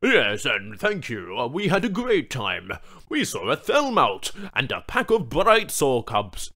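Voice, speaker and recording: A man's voice: medium pitch, huge pitch swings, unhurried, pushed and bright, voice pushed up, heavily acted. A man voicing an eager, rambling, sycophantic corporate employee in an audiobook story. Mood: chatty. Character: chatty, sycophantic male office suit.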